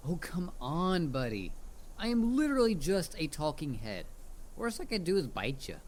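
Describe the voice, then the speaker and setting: Man acting as chatty severed head, wildly swooping pitch. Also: sing-song, moderate pace, a little hiss or hum. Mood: cajoling, easygoing. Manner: casual, rambling, hesitant with stammered repeats. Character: chatty, mischievous talking severed head of a male dullahan. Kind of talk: audio roleplay addressed to the listener. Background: ambient forest sound effects.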